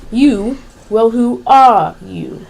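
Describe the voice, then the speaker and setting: Creaky, haughty, languid caterpillar, acted by a female. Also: a little high, lively intonation, very slow, exaggerated voice, rough recording. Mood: curt, imperious. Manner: curt, contradicting, languid. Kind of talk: amateur radio play acting.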